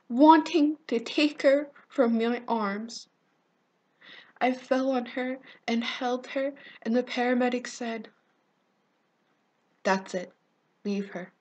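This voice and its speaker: Woman acting as haunted teenage girl, dramatic monologue, slow and halting, soft breathy voice, tender, grieving. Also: a little high, dull audio, voice pushed up. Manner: slow, halting, emotive, swelling from hush to intensity.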